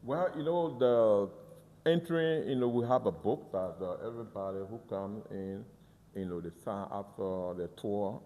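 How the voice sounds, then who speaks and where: A man explains a museum in an earnest, halting way, often stumbling over and restarting his words. His voice is low-pitched and mellow. A long reverb from the museum hall trails behind him. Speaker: museum guide. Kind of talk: guided tour interview.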